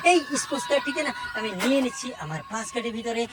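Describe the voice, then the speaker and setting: High-voiced woman presenting toys, exclamatory. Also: expressive, very fast. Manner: exclamatory, with repeated wows and short phrases. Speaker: presenter of a toy video. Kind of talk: toy showcase narration for viewers.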